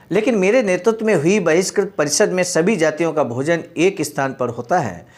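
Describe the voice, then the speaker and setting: Earnest, high-pitched man addressing listeners. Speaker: speaker reading and commenting on a historical speech. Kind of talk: read-aloud speech with earnest explanatory commentary.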